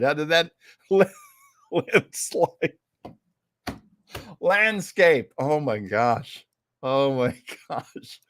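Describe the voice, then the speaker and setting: A podcast host with very lively pitch and an unhurried pace, recorded close and dry. The delivery is casual, effusive and playful, with a theatrical streak. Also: no room sound. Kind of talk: casual livestream chat.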